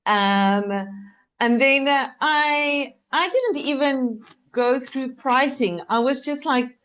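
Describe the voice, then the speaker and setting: A woman's voice: sits high, slow pace, a bit bright. A South African woman whose first language is Afrikaans, chatting as a coach guest on a video-call interview and laughing often. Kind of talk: casual interview chat.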